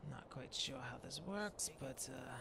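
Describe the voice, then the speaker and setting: A man voicing a mild, courtly Dark Elf shaman in improvised video game roleplay, musing aloud in hesitant, old-fashioned phrases. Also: mid-range voice, expressive, measured pace. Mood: calm, musing. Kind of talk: in-character improvised gameplay narration. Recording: clearly noisy background.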